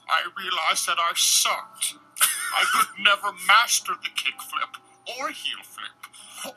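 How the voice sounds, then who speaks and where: A man voicing a prissy, aggrieved, entitled young man, reading diary entries in a pompous, drawn-out, mock-dramatic way with a breathy voice. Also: high voice, sharp tone, exaggerated voice, rough recording. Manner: pompous, affected, drawn-out delivery.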